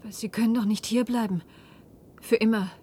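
A woman's voice: fairly high pitch, fairly even intonation, a little fast, mellow, slight background noise, close to an everyday voice. An actress playing an urgent, bewildered woman who questions insistently in a science-fiction radio drama. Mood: concerned.

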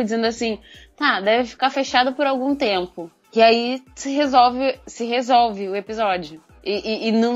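Higher-voiced Brazilian woman, dull lo-fi sound. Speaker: podcast panelist. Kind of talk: casual chat.

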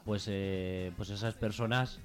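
A man's voice: fairly low pitch, fairly even intonation, slow pace, soft background music. A man with a Peninsular Spanish accent speaking informally as an activist guest in a radio interview, hesitating, rambling and repeating himself.

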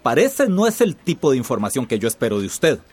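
An actor playing an imperious, scornful company boss in a radio drama. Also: male voice, medium pitch, big pitch swings, brisk, a little mellow, slight background noise, lightly acted. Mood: stern. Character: domineering company boss, contemptuous and threatening.

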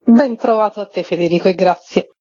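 A woman's voice: mid-range voice, a little fast, warm tone, no room sound. A woman interviewed on the radio as a playwright, explaining her work. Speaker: guest, playwright. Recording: muffled, slightly lo-fi radio interview audio.

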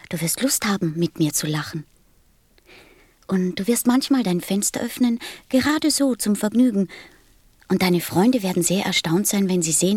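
Radio play role: gentle, wistful small boy, hushed breathy delivery, very dry sound. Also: medium pitch, dry recording. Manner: tender, solemn, simply spoken. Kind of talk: German radio play acting.

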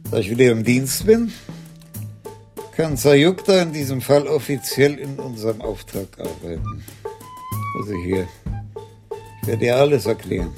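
Guy playing shrewd police chief in an 80s radio drama, gravelly, pretty expressive, bit of music under it. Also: medium pitch, moderate pace, pauses often, natural voice. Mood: decisive. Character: shrewd senior Dutch police commissioner, weighing things soberly. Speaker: lead actor in radio crime drama. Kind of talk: 1980s German radio play acting.

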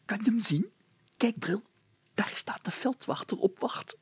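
A man voicing an amused, excitable village mayor who hisses his words in a hushed tone. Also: sits high, a little slow, husky, no room sound, voice pushed up, heavily acted. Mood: amused, conspiratorial. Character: giggly, amused male village mayor hiding at night.

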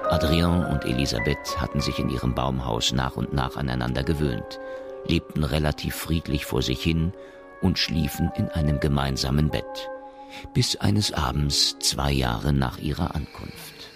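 An extremely deep male voice with a gravelly creak narrates a radio play. The scripted storytelling sits over clearly audible music. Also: moderate pace.

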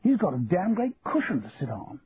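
A man voicing a genial, silly upper-class English gentleman for an audiobook, plummy and spluttering. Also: on the high side, wildly swooping pitch, fairly quick, voice pushed up. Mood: jovial. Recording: muffled narrow-band audio with hiss.

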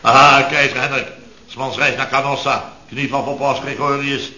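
Blustering, self-important boss, echoey old radio. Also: male voice, medium pitch, steady tone, normal speed, pushed and bright. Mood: pompous. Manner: exasperated, pompous, emphatic. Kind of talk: 1970s Dutch radio comedy acting. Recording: muffled, echoey old radio recording with audience.

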